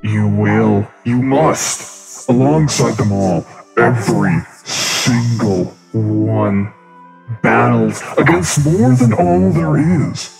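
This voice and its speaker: A man playing a cryptic spirit of light in a fantasy audio drama, speaking in halting, ominous short phrases. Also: mid-range voice, expressive, vocal fry, loud music behind the voice, exaggerated voice. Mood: grave foreboding. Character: cryptic omen-speaking spirit of pixelated light, grave and foreboding.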